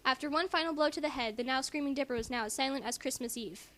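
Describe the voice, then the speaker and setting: A woman's voice: high-pitched, fast talker, bright, forceful tone. A woman giving a theatrically emphatic amateur reading, breaking off for squeamish, casual asides. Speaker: co-reader and commentator. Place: at home in a house.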